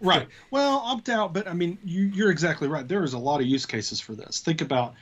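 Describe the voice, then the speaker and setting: A man co-hosting a casual tech discussion, talking in a halting way as he thinks aloud. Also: fairly high pitch, a bit bright, husky, dull audio. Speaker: co-host of a tech show.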